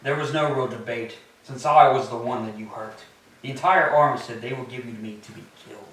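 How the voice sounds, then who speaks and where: Heard from a distance in a very reverberant church, a man acts out a vengeful Greek warrior king. He declaims imperiously, as befits a wronged husband in classical tragedy, at a middling pitch. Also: fairly quick, a bit bright, lightly acted. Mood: scornful. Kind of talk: staged reading of Greek tragedy. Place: church, live staged reading. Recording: echoey church, distant mic, faint noise.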